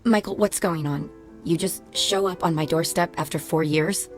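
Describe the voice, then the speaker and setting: A woman plays a no-nonsense, wounded ex-wife in a radio play, her voice on the low side. She is sarcastic and reproachful, keeping her guard up, with faint music behind her. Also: moderate pace, close to an everyday voice. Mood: surprised, wary. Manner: sardonic, clipped, reproachful. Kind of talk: radio drama acting. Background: faint background music.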